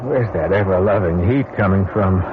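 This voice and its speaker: A man's voice: sits low, moderate pace, natural voice. A man playing a hot-tempered, blunt space crewman with a slangy, folksy way of talking in an old-time radio drama. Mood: uneasy. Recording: muffled radio recording, clear background noise.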